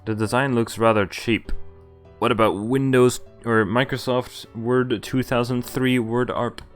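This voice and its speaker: A man's voice: mid-range voice, level tone, measured pace, clean tone. A man streaming a visual novel, reading the story aloud in first person with casual asides. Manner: reads story text aloud with casual commentary. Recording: game music behind the voice. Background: game music.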